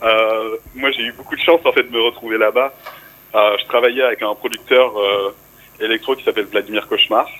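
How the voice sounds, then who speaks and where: A man's voice: lowish voice, a little fast, poor audio. A young man from France, a rapper guest in a radio interview, talking casually with fillers. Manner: relaxed, casual, speaks with fillers.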